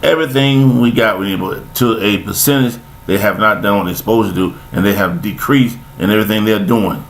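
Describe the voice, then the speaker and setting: Gravelly older man preaching folksy advice. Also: on the low side, fairly quick, few pauses, low-quality recording. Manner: preachy, folksy, repeats himself. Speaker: vlogger giving life commentary. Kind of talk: rambling spoken monologue.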